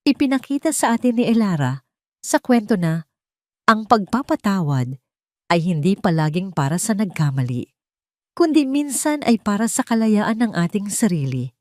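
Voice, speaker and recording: A woman's voice: medium pitch, very animated, moderate pace, no echo, clean studio sound. A woman reading a scripted, dramatic romance story as narrator.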